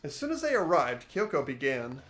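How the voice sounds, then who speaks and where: A man's voice: fairly high pitch, big pitch swings, normal speed, a bit bright, soft background music. A man playing an earnest, anguished teenage boy who narrates a fan-voiced game dramatically. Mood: shaken, somber.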